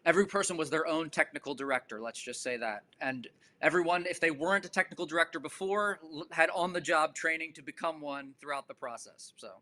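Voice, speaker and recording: A man speaking as a director in a panel discussion, explaining in a measured, articulate way with thoughtful pauses. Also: fairly high pitch, pushed and bright. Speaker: stage and film director.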